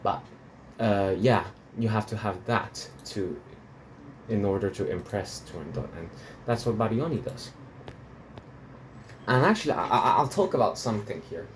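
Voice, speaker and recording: A man's voice: fairly low pitch, animated, moderate pace, a little hiss or hum, poor audio. A man from Hong Kong reviewing opera recordings in a rambling, casual way, full of restarts and fillers. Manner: rambling, many restarts and fillers, laughs between points.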